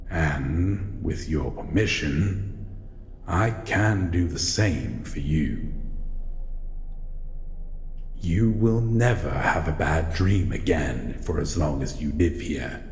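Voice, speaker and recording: A man voicing a solitary shadow monster in an audio roleplay, guarded but courteous, speaking in a formal, measured way. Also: extremely low pitch, darkish tone, exaggerated voice. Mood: measured, reassuring. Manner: slow, formal, measured, calls listener human. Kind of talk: scripted audio roleplay addressed to the listener. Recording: echoey, long reverb, faint noise.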